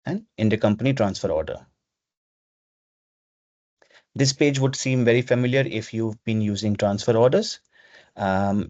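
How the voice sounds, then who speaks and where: A man presenting a webinar, explaining software step by step in an instructional way over a video call. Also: medium pitch, no room sound. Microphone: video call microphone. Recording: dull, lo-fi video call audio.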